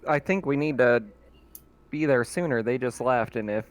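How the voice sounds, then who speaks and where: A man's voice: medium pitch, moderate pace, slight background noise. A man talking casually as a player in a tabletop roleplaying game.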